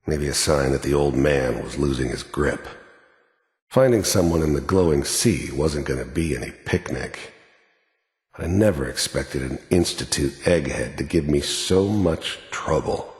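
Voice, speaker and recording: An actor playing a cold, world-weary middle-aged American mercenary in a video game, with a gravelly, raspy voice and a laconic, sardonic, quietly menacing manner. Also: male voice, very deep voice, expressive, a little slow, dry recording, studio quality, full character voice. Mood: weary, reflective. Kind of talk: video game voice acting, cinematic monologue.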